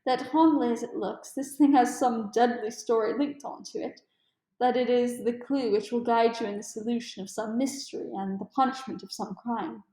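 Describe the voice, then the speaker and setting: A woman voicing a polite, curious male friend of a detective, measured and inquiring, in a storybook read-aloud. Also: on the high side, fairly even intonation, warm tone, reverberant, close to an everyday voice.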